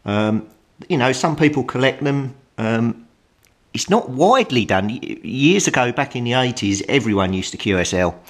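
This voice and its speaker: A man's voice: medium pitch, lively intonation, moderate pace. A middle-aged British man giving a casual, plain-spoken tutorial as a hobbyist presenter. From United Kingdom.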